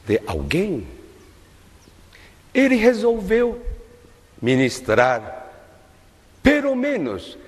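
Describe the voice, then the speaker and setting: An older man preaching a sermon at a lectern in a large worship hall, in a slow, measured, emphatic delivery. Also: a little high. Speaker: minister giving a testimony-based sermon. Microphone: lectern microphone. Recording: long reverb of a large hall.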